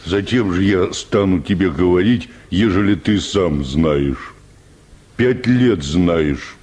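Deep, drawling, stubborn peasant. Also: male voice, a little mellow. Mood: stubborn, mocking. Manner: rustic, unhurried, mocking. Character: stubborn, cheeky peasant man, church choir bass. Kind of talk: Russian radio play acting.

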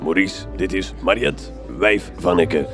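An actor with a Flemish accent playing a gruff, foul-mouthed, blustering detective in a radio drama. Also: male voice, low-pitched, measured pace, over music. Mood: gruff jovial.

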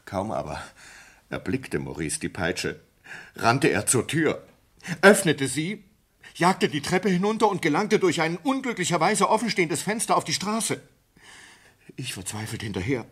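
An actor playing a distraught sailor in a German radio play, confessing in a halting, intense manner with a husky voice. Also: male voice, a little high, sing-song, heavily acted. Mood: frantic, agitated. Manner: halting, intense, swinging from hushed to agitated. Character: distraught sailor confessing a horrifying ape killing. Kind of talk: German radio play acting, dramatic monologue.

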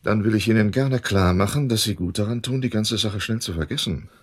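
Husky, slick crook played by a man, deep-voiced. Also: brisk, natural voice. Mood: smug, explanatory. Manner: condescending, sardonic, talkative. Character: slick, evasive shady male dealer in forged violins. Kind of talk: German radio drama acting.

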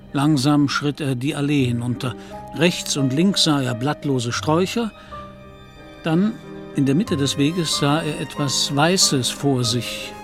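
A man narrating a period novel in a radio play, as a measured literary storyteller. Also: medium pitch, close to an everyday voice. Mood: measured, suspenseful.

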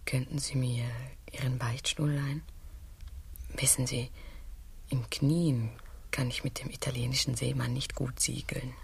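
A woman playing a coquettish, theatrically passionate Spanish queen in a comic radio play, breathy and suggestive. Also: rumbling low, flat delivery, a little slow, exaggerated voice. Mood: excited whispering.

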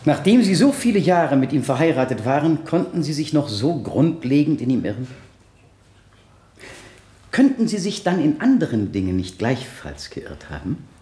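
A man's voice: a little high, very animated, moderate pace, warm tone. A man playing a relentless prosecutor cross-examining a witness in a 1970s German radio drama. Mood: pointed, doubting. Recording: roomy radio play studio sound.

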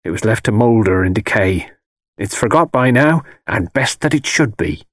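A man's voice: fairly low pitch, expressive, moderate pace, no room sound, studio quality. A man voicing a malicious, wheedling old woman who tells fortunes, with rustic dialect speech and ominous insistence, in an audiobook. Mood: grim, secretive. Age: older. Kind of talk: dramatised audiobook character voice.

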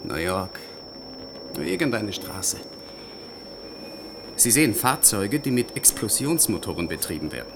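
An actor in a German radio play voicing a rational, exasperated young scientist who explains things emphatically. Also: male voice, lowish voice, big pitch swings, measured pace, long pauses, noisy background. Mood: explaining. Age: young adult.

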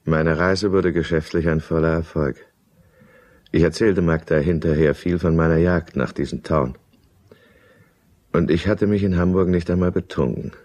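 A deep male voice in a German radio drama gives us a self-pitying businessman who drinks. He narrates and argues in a brooding, irritable way, always defending himself. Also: steady tone, moderate pace, a little mellow. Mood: brooding, confessional. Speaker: lead character and first-person narrator.

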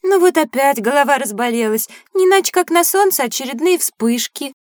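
A high, childlike voice presents a young schoolgirl. She sounds earnest, helpful and curious, speaking scripted lines in an educational audio drama. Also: animated, fairly quick, no room sound, clean studio sound.

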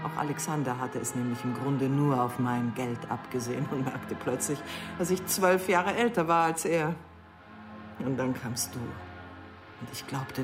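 Radio drama: haughty middle-aged wife, mercenary and mocking, lowish voice, highly animated delivery. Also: female voice. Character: scornful, mercenary middle-aged wife ending her marriage. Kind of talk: radio play acting.

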